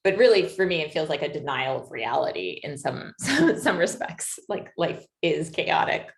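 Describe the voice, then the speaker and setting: A woman's voice: deep voice, very animated, normal speed, gravelly. A woman in her late thirties interviewing a guest, thinking aloud and searching for words as she asks reflective questions. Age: late 30s. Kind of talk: reflective conversation.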